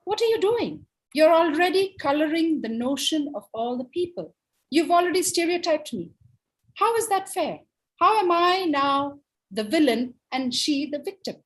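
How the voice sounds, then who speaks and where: A woman's voice: fairly high pitch, animated, a little slow, low-quality recording. A woman voicing an indignant male wolf in a told story, protesting with emphatic, aggrieved questions. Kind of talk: animated oral storytelling.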